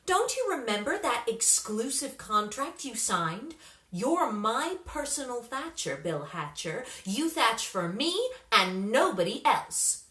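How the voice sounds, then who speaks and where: Lady doing a haughty pop star diva for a storybook, bossy and dismissive, bright, roomy sound. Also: a little high, very animated, takes it slowly, heavily acted. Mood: cold, imperious. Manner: imperious, dismissive. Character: haughty, possessive female celebrity pop star.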